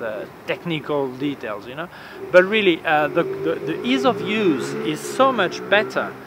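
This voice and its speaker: A man in his forties with a non-native English accent, reviewing cameras in a casual vlog, hesitant with many fillers and repeated words, recorded outdoors in a city plaza. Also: a little high. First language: not English. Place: outdoor urban plaza on a bench. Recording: faint outdoor street noise. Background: faint street ambience.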